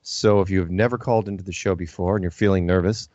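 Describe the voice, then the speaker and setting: A man's voice: sits low, fairly even intonation, fast talker, a little mellow, no echo. A man hosting a live call-in radio show, chatting casually with dry, self-deprecating humour. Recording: dull, lo-fi broadcast sound.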